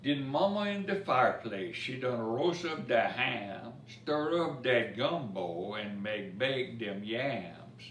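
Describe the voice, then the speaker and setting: Slow-paced man reading aloud in a roomy space. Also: mid-range voice. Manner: expressive storytelling, pausing to point out pictures. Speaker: story time reader. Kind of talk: read-aloud picture book in Cajun dialect verse with asides. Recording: roomy, distant mic with faint noise.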